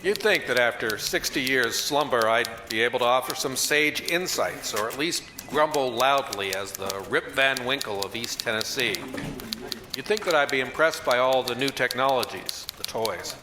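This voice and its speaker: A man's voice: mid-range voice, moderate pace, noisy background, natural voice. A man playing a wisecracking, old-fashioned newspaper reporter in a radio drama, narrating with hard-boiled, slangy wit. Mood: reflective. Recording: roomy echo, faint music behind.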